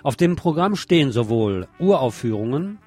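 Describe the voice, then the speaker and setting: A man's voice: mid-range voice, sing-song, moderate pace. A man reading scripted radio news and commentary in a wry, editorializing way. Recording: music bed under the voice.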